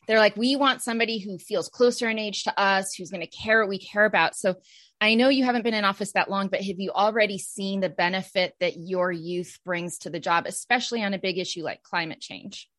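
A middle-aged woman interviewing a guest, speaking in a relaxed way with fillers and long questions. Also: mid-range voice, brisk, no room sound, clean studio sound. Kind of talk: conversational interview.

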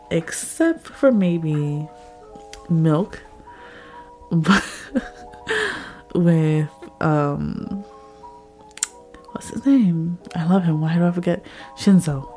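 A young woman with a raspy, slightly hoarse voice from a cold, chatting casually to her listeners as a podcast host, rambling with lots of fillers. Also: deep voice, slow pace, pauses often, darkish tone, soft background music.

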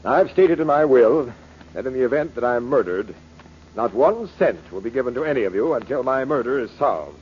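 An actor playing a bitter, commanding rich uncle in an old-time radio drama, with cold sardonic delivery. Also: male voice, medium pitch, animated, fairly quick, natural voice. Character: rich, bitter, imperious uncle taunting his heirs. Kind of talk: 1940s radio acting. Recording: muffled, hissy old radio recording.

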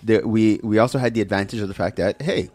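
A man's voice: lowish voice, quick pace, a little mellow. A man hosting a show, talking in a casual, conversational way with plenty of slang. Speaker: show host. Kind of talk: casual podcast-style talk.

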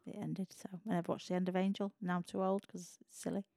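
A woman's voice: fairly low pitch, level tone, quick pace, creaky, mellow, dry recording. A woman co-hosting a podcast in casual chat, a bit tired, giving short, dry and blunt replies. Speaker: podcast co-host.

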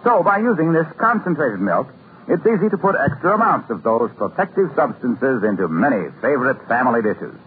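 Lively American radio announcer, man, tinny sound. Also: mid-range voice, brisk. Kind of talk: scripted 1950s radio announcing. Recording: tinny old radio, faint noise.

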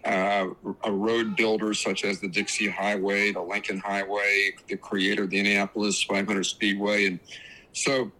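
A man's voice: fairly low pitch, level tone, normal speed, pushed and bright, rough recording. A man speaking as a historian guest, telling history conversationally in a folksy, meandering, anecdotal way.

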